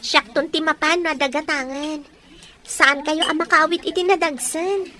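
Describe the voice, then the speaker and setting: An actress playing a chatty, curious daughter in a radio drama, with a questioning way of talking. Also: female voice, sits high, steady tone, normal speed, bright, forceful tone. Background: music.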